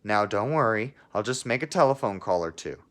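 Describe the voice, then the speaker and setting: A man voicing a calm, practical expectant mother in a children's storybook read-aloud. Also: fairly low pitch, brisk. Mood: reassuring. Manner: reassuring, practical. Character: calm, reassuring expectant mother, adult woman.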